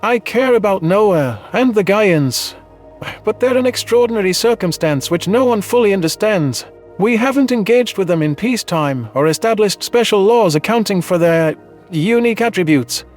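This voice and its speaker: Audio drama role: man performing a guarded male alien rescue survivor advising politicians, high voice, light music underneath. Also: lively intonation, moderate pace, a little mellow. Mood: wary, concerned. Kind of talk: audio drama narration dialogue.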